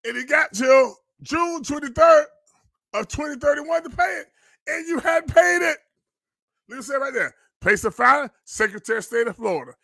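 A man delivers commentary in a preacher's cadence. His voice is bright and forceful, recorded dry and close. He sounds indignant and emphatic, hammering phrases by repeating them. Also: very high-pitched, gravelly, no room sound. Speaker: pastor and online show host. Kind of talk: fiery live-stream commentary, preacher's cadence.

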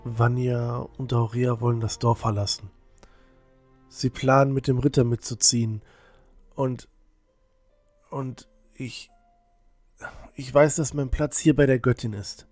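A man as a slow, hesitant village youth. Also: mid-range voice, steady tone, darkish tone, dry recording, faint music behind, natural voice. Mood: anxious, heartfelt. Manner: hesitant, stammering, earnest. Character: earnest, shy teenage village boy, lovesick and unsure. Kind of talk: amateur fantasy audio drama acting.

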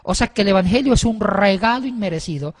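A man's voice: sits high, lively intonation, quick pace. A middle-aged man on a panel explaining religious ideas didactically, often repeating and rephrasing himself mid-sentence. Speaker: panel guest explaining doctrine. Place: wood-panelled indoor studio or meeting room. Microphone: handheld microphone. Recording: very echoey room.

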